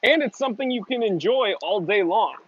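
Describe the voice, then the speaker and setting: American man, fairly high voice, dry close sound, slangy rambling chat, livestream host. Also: expressive, brisk, a bit bright, husky, no echo. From New England, USA. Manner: laid-back slangy, jokey, rambling. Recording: muffled lo-fi audio.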